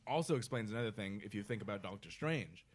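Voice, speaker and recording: A man co-hosting a casual podcast, explaining things in a profane, animated way and quoting people in his own words. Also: on the low side, fast. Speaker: podcast co-host. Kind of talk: casual chat, film discussion.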